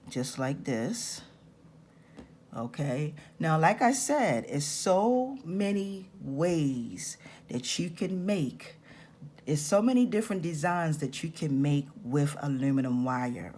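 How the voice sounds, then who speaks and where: Woman, slightly raspy, unhurried step-by-step craft teaching, faint hiss behind. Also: low voice, lively intonation, darkish tone. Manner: slow, patient step-by-step instructions. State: raspy-voiced this morning. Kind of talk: hands-on craft tutorial.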